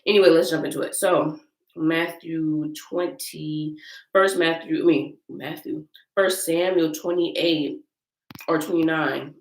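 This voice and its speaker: An American woman with a deep voice roughened by a cold, talking informally to viewers as host of a Bible-reading vlog. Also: fairly even intonation, slow pace. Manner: informal, chatty, addressing viewers as y'all. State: getting over sickness. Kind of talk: casual chat and reading scripture aloud. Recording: roomy echo, distant microphone.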